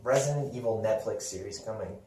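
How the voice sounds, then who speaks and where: An American man with a soft, mellow voice co-hosts a casual podcast. He rambles enthusiastically through his thoughts. The sound is roomy and a little far from the microphone. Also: lowish voice, a little fast, a little hiss or hum. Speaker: podcast co-host. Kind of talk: casual chat about games and films. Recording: roomy echo, distant microphone.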